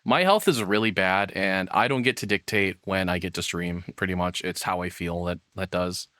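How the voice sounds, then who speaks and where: A man's voice: low voice, moderate pace, bright, forceful tone, no echo, studio quality. A man hosting a livestream, chatting casually to viewers in a rambling, self-deprecating way. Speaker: livestream host. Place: own room. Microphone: processed streaming microphone, close and dry.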